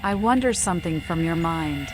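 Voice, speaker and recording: Woman doing a mad vampire seer, lowish voice, talks in riddles, music behind. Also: lively intonation, moderate pace. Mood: musing. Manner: riddling, oddly formal. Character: cryptic, mad female Malkavian vampire seer. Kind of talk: fan-voiced video game protagonist.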